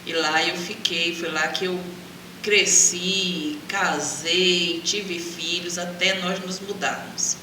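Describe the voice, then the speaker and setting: A woman's voice: on the low side, fairly even intonation, slow pace. A middle-aged woman from Brazil with a Bahian accent, telling her life story as an interview guest. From Salvador, Bahia, Brazil. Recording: echoey, distant mic, clear background noise.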